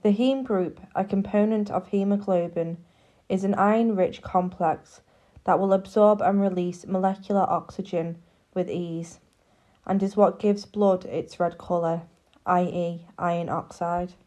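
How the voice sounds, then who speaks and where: A woman reading a scripted educational narration in a measured, formal, explanatory manner, like a public information film. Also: lowish voice, fairly even intonation, dark tone.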